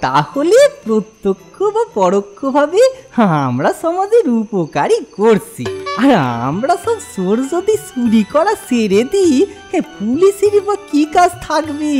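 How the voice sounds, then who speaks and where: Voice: high-pitched, darkish tone, exaggerated voice. An actor voicing a cheeky, servile village thief in a comic cartoon, wheedling and rustic. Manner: obsequious, rustic wheedling, keeps saying sir. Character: cheeky village thief, servile, earnestly arguing simpleton man.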